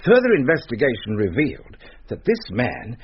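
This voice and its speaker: A male actor playing a droll raconteur who tells a mock-spooky tale theatrically, moving between chuckles and hushed suspense. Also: mid-range voice, huge pitch swings, moderate pace. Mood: triumphant reveal. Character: droll raconteur spinning a mock-spooky tale about his brother-in-law. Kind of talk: theatrical comic ghost-story storytelling. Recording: muffled radio recording, hiss and clicks.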